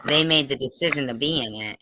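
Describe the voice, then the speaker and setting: A man's voice: medium pitch, fairly quick, noisy background. A man hosting a casual online gossip interview, speaking insistently and repeating phrases for emphasis. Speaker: host of an online interview show.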